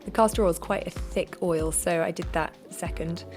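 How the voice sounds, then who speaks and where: A woman with a slightly croaky voice, chatting cheerfully and self-deprecatingly through a how-to vlog. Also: on the low side, steady tone, moderate pace, darkish tone, faint music behind. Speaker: vlogger.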